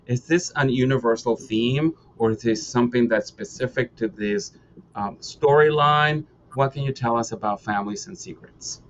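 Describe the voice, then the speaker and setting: A man's voice: mid-range voice, normal speed. A man moderating a question-and-answer discussion in a conversational way over a video call. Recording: dull, noisy video-call audio.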